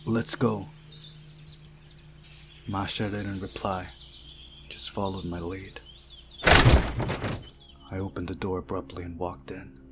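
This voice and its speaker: Slow-paced man narrating, low voice. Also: flat delivery, very noisy. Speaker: visual novel playthrough narrator. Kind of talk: scripted narration, reading aloud. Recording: music behind the voice.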